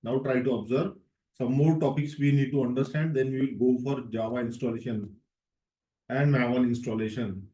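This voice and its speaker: A man's voice: mid-range voice, fairly even intonation, moderate pace, dark tone, rough recording. A young man with an Indian English accent teaching a live online tech lesson, repeating himself and often checking that students follow. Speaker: DevOps instructor.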